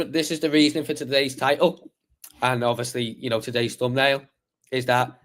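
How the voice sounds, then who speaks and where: Male streamer, croaky voice, mid-pitched, rambling casual chart talk. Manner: chatty, rambling, many fillers and restarts. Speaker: crypto livestream host. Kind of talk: casual live chart analysis.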